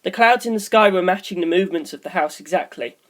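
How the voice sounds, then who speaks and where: A woman's voice: on the low side, fast talker. A woman reading scripted story narration as an audiobook narrator.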